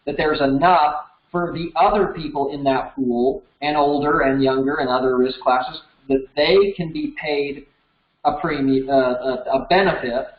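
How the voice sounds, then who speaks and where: American man teaching in an echoey room. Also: fairly high pitch. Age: 30s. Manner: conversational, explaining step by step with everyday examples. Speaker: instructor. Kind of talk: informal explanatory lesson. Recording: roomy echo, slightly dull sound.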